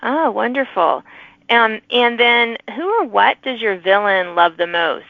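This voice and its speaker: A woman's voice: fairly high pitch, expressive, unhurried, gravelly, no room sound. Chatty woman host with narrow, phone-like sound. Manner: chatty, encouraging. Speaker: podcast host, interviewer. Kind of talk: conversational interview.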